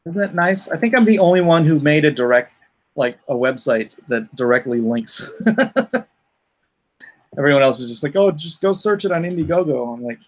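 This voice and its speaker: A man's voice: fairly high pitch, lively intonation, moderate pace. Man rambling casually on a livestream. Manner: rambling, thinking aloud. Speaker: comic creator hosting a crowdfunding livestream.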